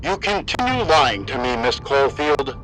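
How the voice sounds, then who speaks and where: A man performs a cold, smug captor in an animated drama. His slightly nasal voice is measured and slow, scolding with complete control, over faint music. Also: medium pitch. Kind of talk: animated fan drama acting.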